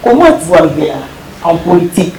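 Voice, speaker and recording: A woman's voice: lowish voice, very animated, fast, mellow, reverberant, a little hiss or hum, low-quality recording. A woman hosting a talk show, opening formally and asking questions. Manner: formal welcoming announcer tone. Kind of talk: interview hosting.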